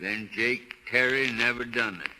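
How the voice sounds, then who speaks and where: An actor playing a humble, elderly American country man, freshly out of prison, halting and folksy in 1930s radio drama style. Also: medium pitch, fairly even intonation, big-room sound, noisy background, close to an everyday voice. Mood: agitated, grieving. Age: older. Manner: folksy, halting, repeats himself. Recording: old 1930s radio broadcast, faint music.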